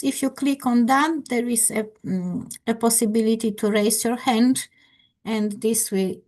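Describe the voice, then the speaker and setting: Friendly woman teacher, slightly high voice, hosting an online class over a video call. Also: darkish tone. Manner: friendly, encouraging, with hesitant fillers.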